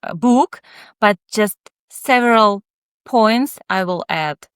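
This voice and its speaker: A woman, not an English speaker, answering interview questions in a halting, candid, thoughtful way with many pauses. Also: medium pitch, fairly bright tone, clean tone, dry recording, clean studio sound. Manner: candid, reflective. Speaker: guest author, child psychotherapist.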